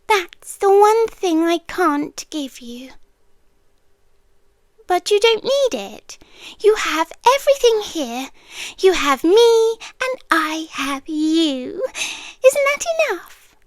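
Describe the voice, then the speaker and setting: A woman's voice: very high-pitched, big pitch swings, dry recording, clean studio sound, full character voice. A British woman playing a centuries-old possessive monster lover in an ASMR roleplay, coaxing and sweetly menacing, often slipping into a whisper. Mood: soft, possessive, then coaxing, insistent. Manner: slow, hushed, coaxing, often drops into whispers.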